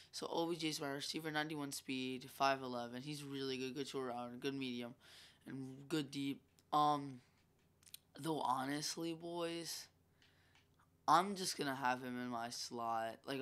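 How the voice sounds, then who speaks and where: A young man commentating his own gameplay in a loose, rambling way, full of ums and asides. His voice is bright but stuffy and congested. He talks into a desk mic over the game. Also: medium pitch, fairly even intonation, normal speed, smooth. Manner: chatty, rambling, filler-heavy. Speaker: gaming YouTuber.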